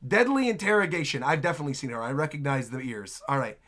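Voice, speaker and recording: A man's voice: mid-range voice, expressive, fast, fairly bright tone. An American man hosting a reaction video with casual, exclamatory, jokey commentary. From United States, Midwest. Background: trailer audio and music.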